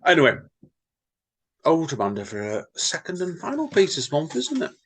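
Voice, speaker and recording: A man reads stories and limericks in a husky voice. His pitch swings widely as he chats and teases his co-host between readings. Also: a little fast. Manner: lively, chatty, wry teasing. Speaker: podcast co-host and reader. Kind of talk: reading poems and casual chat.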